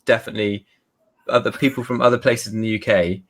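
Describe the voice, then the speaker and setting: A man from Somerset with a softened West Country English accent, chatting casually as an interview guest, self-deprecating and trying to sound well spoken. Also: fairly low pitch, fairly even intonation, fast talker. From Somerset, England. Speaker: guest author.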